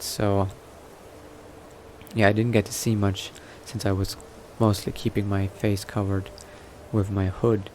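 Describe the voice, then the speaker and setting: Slow, low-pitched man musing in character. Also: a little mellow. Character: newly turned vampire exploring new powers, musing adventurer. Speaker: roleplaying game player. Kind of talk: in-character gameplay narration, thinking aloud. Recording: noticeable background noise.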